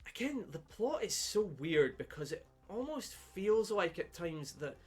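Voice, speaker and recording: Man, fairly high voice, casual movie talk, rambling and halting, sweary, faint music behind. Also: big pitch swings, slightly rough. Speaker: film podcast co-host. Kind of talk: casual film discussion chat. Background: background music.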